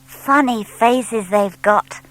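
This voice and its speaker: A woman's voice: a little high, slow pace, fairly bright tone, voice pushed up, rough recording. A woman voicing a small young male barn owl, proud, eager and blunt, in a children's audiobook. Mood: curious. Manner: childlike, hesitant when flustered, blunt.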